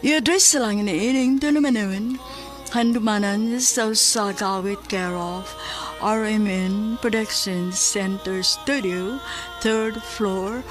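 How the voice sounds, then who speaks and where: A woman with a Cebuano accent reading scripted announcements as the announcer of a radio drama. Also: medium pitch. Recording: loud music bed under voice. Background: music.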